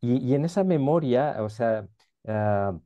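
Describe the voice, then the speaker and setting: A man interviewing a playwright over a video call, speaking haltingly with many restarts. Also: medium pitch, animated, warm tone. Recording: dull muffled video call audio.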